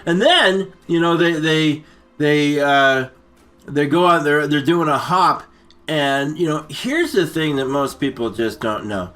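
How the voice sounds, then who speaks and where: A man's voice: fairly high pitch, fairly bright tone. A man hosting a comic story time, retelling a movie in a rambling, stammering way with muddled names and asides. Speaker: story-time show host.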